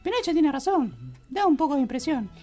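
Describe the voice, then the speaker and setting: Squeaky high Argentine teddy bear. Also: male voice, wildly swooping pitch, fairly quick, mellow, faint music behind, exaggerated voice. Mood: deadpan. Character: small cuddly foul-mouthed teddy bear, sly. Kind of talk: comic radio play acting.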